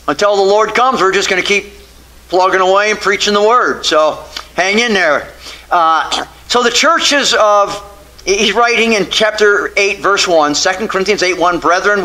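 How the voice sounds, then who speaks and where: Older american guy in his 60s preaching, high bright voice, repeats himself for emphasis, conversational sermon. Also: low-quality recording. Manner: emphatic, repeats and restates phrases. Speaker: pastor. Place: church sanctuary, at a pulpit.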